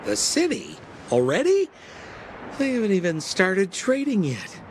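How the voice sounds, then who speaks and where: A man's voice: sits high, wildly swooping pitch, measured pace, a little hiss or hum, heavily acted. An actor playing a sly, theatrical young con-man merchant in a video game, with showy, ingratiating salesman patter. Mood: smooth, wheedling.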